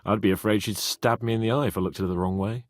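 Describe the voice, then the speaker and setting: An actor voicing a brash, teasing young medieval nobleman in a video game, proud, hot-headed and sardonic, with an expressive delivery. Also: fairly low pitch, fast, dry recording, clean studio sound, close to an everyday voice. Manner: cocky, sardonic, swearing, expressive. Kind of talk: video game voice acting, dramatic dialogue.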